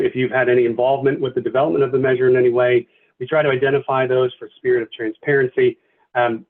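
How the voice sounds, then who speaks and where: A man facilitating a virtual committee meeting, thanking people and walking through the agenda with many fillers and restarts. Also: mid-range voice. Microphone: video call. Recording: tinny phone-like video call audio.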